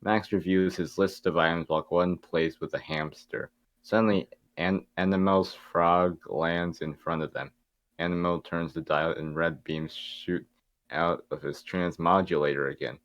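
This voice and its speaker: A man's voice: sits low, steady tone, a little slow, a bit bright, no echo. A man narrating a script at a casual table read, reading out stage directions.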